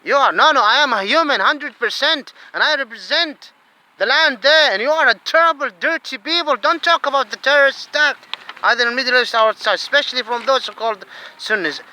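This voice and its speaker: A man from Saudi Arabia with a Saudi Arabian accent, delivering an agitated ranting monologue that swings between low muttering and loud outbursts. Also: a little fast, poor audio.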